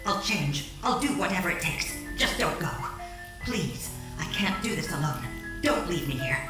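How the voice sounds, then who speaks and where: A woman's voice: on the low side, lively intonation, moderate pace, close to an everyday voice. An actress playing a desperate young wife who frantically begs her husband not to leave, in an audio drama. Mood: pleading. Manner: pleading, breathless, frantic. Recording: echoey, distant, with music underneath.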